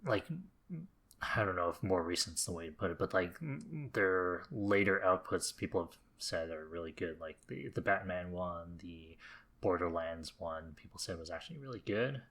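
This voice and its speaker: A man's voice: deep voice, moderate pace, fairly bright tone. A man talking casually as a co-host on a podcast. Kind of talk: casual chat.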